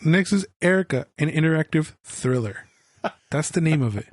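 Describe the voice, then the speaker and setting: A man's voice: on the high side, lively intonation, normal speed, dry recording, studio quality. A man co-hosting a casual podcast, losing his voice and coughing now and then, blunt and swearing freely. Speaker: podcast co-host. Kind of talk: casual chat.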